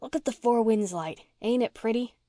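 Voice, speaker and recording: A woman voicing a scrappy orphan girl who talks in a rough, blunt country dialect. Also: a little high, moderate pace, mellow, no room sound, studio quality, natural voice. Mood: wistful.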